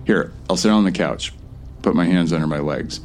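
A man's voice: low voice, normal speed, a little mellow, slight background noise, lightly acted. A man playing a nervous, harmless stranger in an audio drama, hesitant and placating as he explains himself. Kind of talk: post-apocalyptic audio drama acting.

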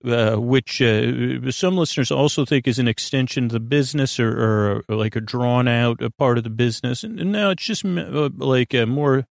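A man hosts a bedtime podcast in a gravelly, fry-laden voice at an ordinary male pitch. He wanders through his monologue, halting and doubling back on his own sentences. The sound is dry and close, with little room around it. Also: dry recording. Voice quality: creaky. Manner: meandering, halting, self-interrupting. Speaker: podcast host. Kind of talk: rambling sleep podcast monologue.

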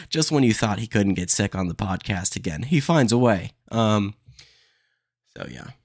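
Young male podcast host, low gravelly voice, stuffy nose, blunt casual rants. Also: big pitch swings, measured pace. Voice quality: nasal from allergies. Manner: blunt, opinionated, says "dude" a lot. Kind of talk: casual comedy podcast rant.

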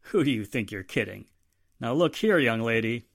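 Casting: exasperated, authoritative police inspector, played by a man, 1940s-style radio drama, very animated pitch over a middling register. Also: moderate pace, gravelly. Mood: scolding. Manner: admonishing, authoritative. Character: stern, fatherly police inspector, cautious and exasperated.

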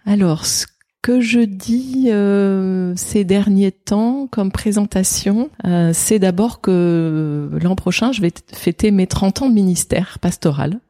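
A woman in her fifties from France, a pastor, telling her story in a reflective, hesitant way with many pauses and fillers in an interview. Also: mid-range voice, warm tone. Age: 50s. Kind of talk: interview conversation. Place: indoors, office.

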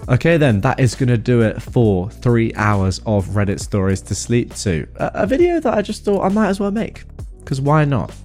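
A man hosting a storytime podcast, reading a story aloud in a relaxed first-person telling. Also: mid-range voice. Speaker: podcast host reading stories. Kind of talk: storytime narration.

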